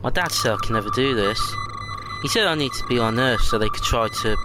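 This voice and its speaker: A man's voice: mid-range voice, animated, brisk, noisy background, lightly acted. A man playing a sullen, earnest sixteen-year-old runaway in a science fiction audio drama, speaking in short, guarded answers. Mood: wistful, hurt.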